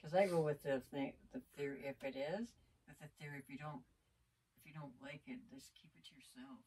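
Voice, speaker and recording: A middle-aged woman with a deep voice, talking frankly and bluntly with her partner in a casual chat, swearing freely. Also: steady tone, a little fast, warm tone, husky. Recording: distant mic.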